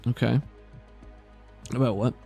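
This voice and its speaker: A man with a creaky, mid-pitched voice runs a tabletop roleplaying game. He narrates conversationally, feeling his way through descriptions. Faint music plays behind him. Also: fairly even intonation, measured pace, warm tone. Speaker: game master.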